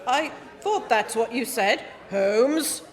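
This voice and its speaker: A woman playing a bemused, slightly slow Victorian gentleman sidekick in a comic radio play. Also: fairly high pitch, animated. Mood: baffled. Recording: noisy hall reverb, crowd behind.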